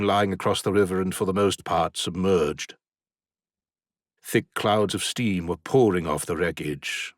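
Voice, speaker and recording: A man's voice: low-pitched, no echo, clean studio sound. A man narrating a classic novel as an audiobook, intense and dramatic, climbing to urgent peaks in the action scenes.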